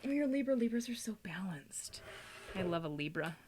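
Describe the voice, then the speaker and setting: A woman's voice: mid-range voice, lively intonation, a little fast, a crowd in the background. A woman chatting casually and informally as a guest, explaining things in a loose, talkative way. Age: adult, parent. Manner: chatty, informal, frequent laughing asides. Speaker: guest, tarot and astrology reader.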